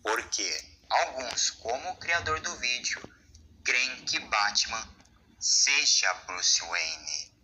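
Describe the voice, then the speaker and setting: A man with a Brazilian accent narrates a scripted explainer. His voice is very bright and sharp, on the lower side, with lively swings in pitch. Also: slow pace, a little hiss or hum, poor audio. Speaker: video essay narrator.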